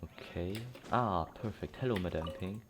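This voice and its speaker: A man's voice: deep voice, normal speed. A man giving casual let's play commentary, rambling, thinking aloud and correcting himself as he goes. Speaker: gaming streamer. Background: game music.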